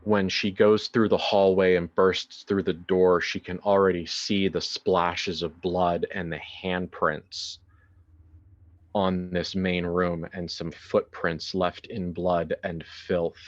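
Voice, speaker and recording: A man narrating a horror tabletop game as game master in a deliberate, dramatic, halting way, with improvised phrasing. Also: sits low, little pitch movement, dull audio.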